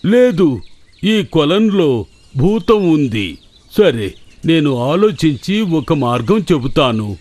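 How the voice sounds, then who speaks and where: Animated, slow-talking man acting a cartoon animal character. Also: a little high, heavily acted. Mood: thoughtful, cautious. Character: wise monkey leader and menacing pond demon. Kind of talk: children's cartoon story voice acting. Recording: music behind the voice.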